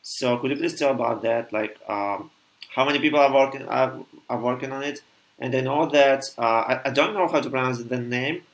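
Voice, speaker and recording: A man's voice: mid-range voice, fairly quick, low-quality recording. Guy hosting a video call interview, mid voice, stumbles over his questions. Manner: hesitant, loses track of questions. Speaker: talk show host.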